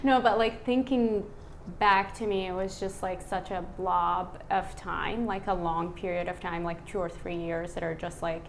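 A woman in her thirties from Iraq, a physician speaking as a podcast guest. She talks in a relaxed, reflective way, with many "um" and "like" fillers. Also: fairly low pitch, unhurried, a little hiss or hum. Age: 30s. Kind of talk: casual conversational interview.

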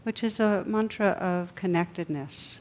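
A woman leading a guided meditation as the teacher, speaking in soothing, measured short phrases with pauses. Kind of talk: guided meditation, slow instructive speech. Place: a room with a live group. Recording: dull lo-fi recording, clear background rumble noise.

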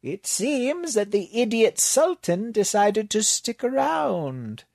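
Man reads unbothered alien librarian, high and odd-toned, bone-dry delivery. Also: takes it slowly, gravelly, no room sound, exaggerated voice. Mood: deadpan. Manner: dry, detached, deadpan. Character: unflappable alien male librarian in a borrowed body.

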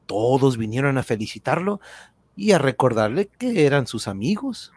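A man's voice: mid-range voice, big pitch swings, moderate pace, a little mellow, breathy edge, dry recording. A man narrating a radionovela from a script in storytelling style.

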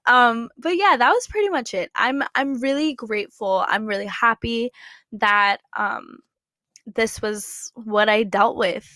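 A woman's voice: fairly high pitch, a little slow, pushed and bright, dry recording. A young woman hosting a casual faith podcast, congested and with a slight cough, rambling with many fillers and restarts. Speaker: podcast host. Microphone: computer setup, looking at notes.

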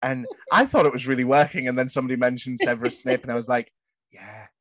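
A man's voice: medium pitch, steady tone, a bit bright, dry recording. A young man in his twenties hosting a podcast, chatting candidly with many stops, restarts and swear words. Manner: swears a lot, halting restarts. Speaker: podcast host. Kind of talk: candid casual chat.

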